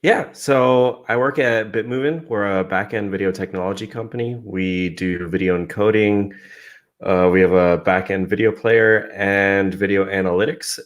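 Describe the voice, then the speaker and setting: Very deep-voiced man explaining. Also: normal speed. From San Francisco, United States. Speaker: digital marketer, guest. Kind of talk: casual video-call interview. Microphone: podcast-style microphone.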